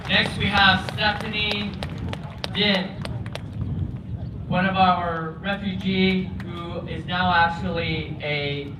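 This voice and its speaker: A man's voice: high-pitched, steady tone, slow, long pauses. A man introducing speakers as emcee at a ceremony, casual and friendly. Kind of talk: event introduction. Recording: echoey, distant, noisy.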